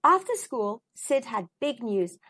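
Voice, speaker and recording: A woman reading a children's storybook aloud in an expressive, deliberate way, addressing young listeners. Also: fairly high pitch, husky.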